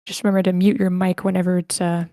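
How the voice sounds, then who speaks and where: A woman's voice: lowish voice, level tone, fast, warm tone, a little hiss or hum. A woman casually hosting an online group read, polite and informal, often thinking aloud as she organizes things. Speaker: host of online table read. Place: online voice meeting.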